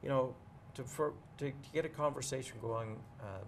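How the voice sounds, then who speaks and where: A man in a casual interview, talking as a bookseller guest in a measured, explanatory way and pausing to choose his words. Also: medium pitch, slight background noise.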